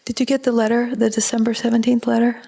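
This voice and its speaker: A woman's voice: fairly high pitch, level tone, fast, vocal fry, mellow. A woman in conversation as a student questioner, sharing reflectively and tentatively as she searches for her words.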